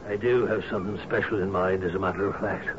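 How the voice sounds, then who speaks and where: An actor in a radio drama playing a grieving, reserved houseguest who speaks in a solemn, terse, polite way. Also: male voice, low-pitched, fairly even intonation, fast talker, close to an everyday voice. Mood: grave, subdued. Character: grave, grieving, reserved male houseguest on island. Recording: muffled, hissy old radio broadcast.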